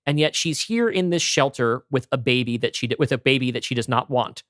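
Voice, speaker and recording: A man's voice: medium pitch, fairly quick, fairly bright tone, no echo, clean studio sound. A man co-hosting a podcast in casual creative discussion, emphatic and often restarting his sentences. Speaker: podcast co-host.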